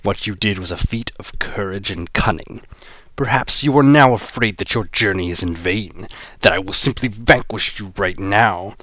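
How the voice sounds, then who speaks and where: A man's voice: fairly low pitch, normal speed, a bit bright, no echo. A man voicing a boastful, menacing alien villain with a grand manner. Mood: gloating.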